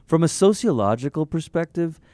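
A man from Malaysia, a pastor, talking in a lively, thoughtful way in an interview and laughing easily. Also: fairly high pitch, no room sound. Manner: animated, thoughtful, quick to laugh. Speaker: pastor and author. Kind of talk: conversational interview.

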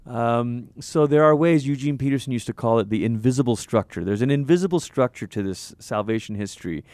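A man from Malaysia, a pastor, talking in a lively, thoughtful way in an interview and laughing easily. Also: medium pitch, dry recording. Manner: animated, thoughtful, quick to laugh. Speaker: pastor and author. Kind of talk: conversational interview.

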